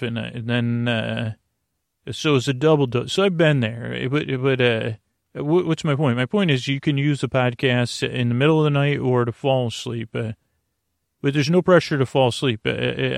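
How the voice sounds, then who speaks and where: Male sleep podcast host, mid-pitched with vocal fry, halting and meandering bedtime monologue. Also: no echo, studio quality. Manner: meandering, halting, repetitive, trailing off.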